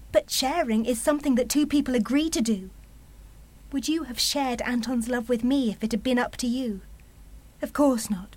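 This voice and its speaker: A bitter young mum in a radio drama, high voice, cutting and indignant, super close dry sound. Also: female voice, no room sound, poor audio. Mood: bitter, furious. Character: defiant, bitter young French mother, fiercely protective. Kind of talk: radio play acting.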